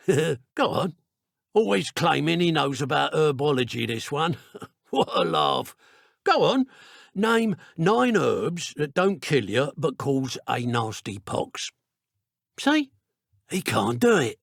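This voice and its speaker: A man with a British accent acting a menacing, taunting dark wizard poacher in a video game, in a theatrical, colloquial way. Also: medium pitch, vocal fry, slightly rough, no echo, studio quality, heavily acted. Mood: chatty, teasing.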